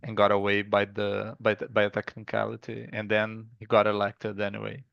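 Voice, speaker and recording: A man with a Brazilian accent, a guest in a casual interview, who talks haltingly and often restarts with "like". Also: fairly low pitch, monotone, creaky, dry recording, highs cut off. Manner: halting, stops and restarts, says 'like' often. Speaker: guest artist.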